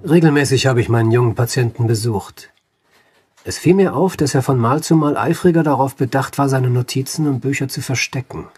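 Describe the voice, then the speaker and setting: An actor playing an educated doctor who recounts a patient's case in a radio drama, formal, deliberate and precise. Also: male voice, mid-range voice, darkish tone, husky, no room sound. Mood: measured, correcting. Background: music.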